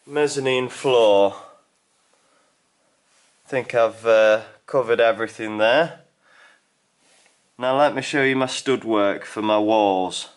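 A young man filming himself on a handheld camera in a barn under construction, explaining his building work casually with fillers. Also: mid-range voice. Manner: explanatory, thinking aloud with fillers. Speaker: DIY builder vlogger. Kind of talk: casual walkthrough narration. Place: indoor barn under construction, stone walls. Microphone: handheld camera at arm's length.

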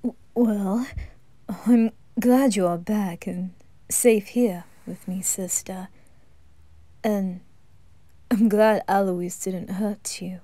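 A woman voicing an anxious young noble boy in a fan audio roleplay, speaking in a hushed, stammering whisper. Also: mid-range voice, steady tone, takes it slowly, long pauses, no echo. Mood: troubled.

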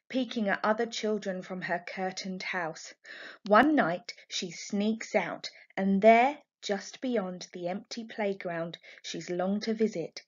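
Woman reading a kids book out loud, expressive teacher style, normal pitch, bit muffly lo-fi. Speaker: primary school teacher reading a children's book aloud. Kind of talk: expressive storybook narration. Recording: dull, lo-fi home recording.

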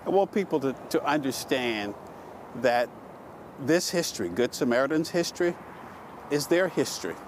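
A man's voice: medium pitch, very animated, moderate pace, long pauses, background noise. A man in his seventies, a county official, speaking earnestly in an outdoor interview with some fillers. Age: 70s. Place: outdoors in a city plaza.

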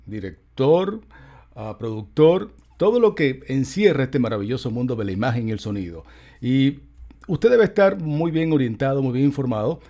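A man's voice: mid-range voice, darkish tone. A middle-aged man from the Republic, hosting an interview with an enthusiastic presenter delivery into a studio mic. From Dominican Republic. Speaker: interviewer, show host. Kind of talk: broadcast-style interview. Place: home studio with neon sign. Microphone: large studio mic on arm, earbud.

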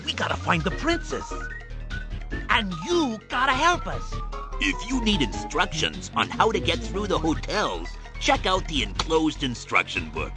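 A man voicing an excitable, goofy plumber hero in a cartoon video game cutscene, with hammy, exaggerated delivery. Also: a little high, measured pace. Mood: eager, urgent. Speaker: cartoon voice actor. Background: loud music.

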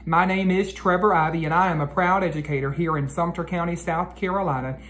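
An American man in his thirties, an educator doing an expressive, carefully enunciated read-aloud for children. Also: on the high side, a little fast. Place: living room at home, indoors. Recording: roomy echo, clear background noise.

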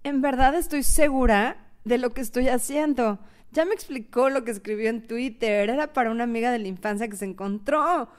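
Lady playing anxious lovestruck young woman in a radio novela, highish voice, dramatic storytelling. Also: normal speed, close to an everyday voice. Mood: pleading, placating. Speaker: narrator and actress.

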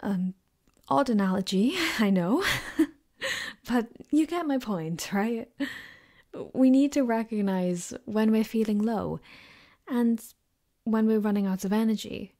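A young woman playing a caring, gently teasing girlfriend in an intimate ASMR roleplay, with a soft, breathy, reassuring delivery close to the mic. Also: fairly high pitch, slow pace, long pauses. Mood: tender, reassuring. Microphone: close binaural microphone.

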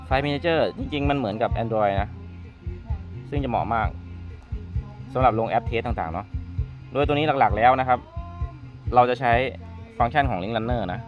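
A man giving an informal hands-on product walkthrough as a trainer, repeating words as he explains. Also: medium pitch. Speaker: product trainer demonstrating network testers. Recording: muffled lo-fi audio, music underneath. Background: background music.

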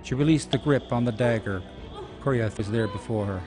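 A man's voice: medium pitch, moderate pace. A man giving scripted, dramatic story narration for a radio play. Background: music.

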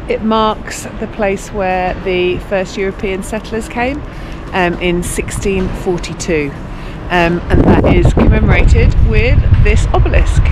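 Chatty woman on snowy streets. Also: medium pitch. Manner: chatty, frequent ums, recommending sights. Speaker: travel vlogger. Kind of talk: self-guided walking tour vlog. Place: snowy city streets outdoors. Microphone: handheld camera at arm's length. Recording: outdoor street noise.

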